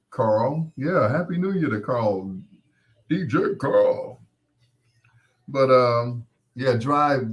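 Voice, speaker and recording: Slow-talking older American man reminiscing. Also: mid-range voice. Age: 60s. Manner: rambling, conversational storytelling, repeating himself for emphasis. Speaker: host. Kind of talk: casual live chat, reminiscing.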